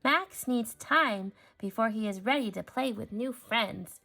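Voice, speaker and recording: A woman reading a children's story aloud, expressive and clearly phrased for young listeners. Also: fairly high pitch, measured pace. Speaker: storybook reader.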